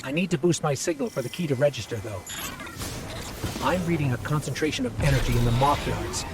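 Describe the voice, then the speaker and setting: An actor playing an anxious, earnest little AI robot companion in a video game, reporting things precisely. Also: male voice, mid-range voice, expressive, fairly quick, noisy background, close to an everyday voice. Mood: focused, earnest.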